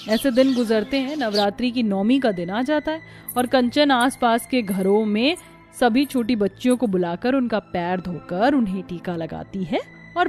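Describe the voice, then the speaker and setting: A woman's voice: a little high, darkish tone. A woman reading scripted narration for a cartoon moral story.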